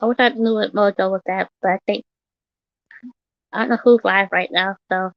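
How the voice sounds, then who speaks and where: A woman in her thirties, a little hoarse from yelling, talking bluntly and repetitively on a casual livestream. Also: medium pitch, steady tone, normal speed, no echo, muffled recording. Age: 30s. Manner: repetitive, blunt, swearing, rambling.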